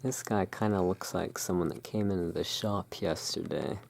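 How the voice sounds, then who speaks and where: A hushed, soothing and unhurried voice in an ASMR roleplay, playing the owner of a gothic curiosity shop. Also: low voice, creaky, a little hiss or hum. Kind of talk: ASMR roleplay, showing tarot cards.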